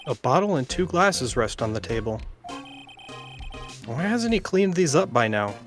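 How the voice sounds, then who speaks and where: Man reading as a wry young lawyer, mid-pitched. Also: sing-song, measured pace, music in the background. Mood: dry observation. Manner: wry, matter-of-fact. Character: dry, observant young male defense lawyer narrating investigation.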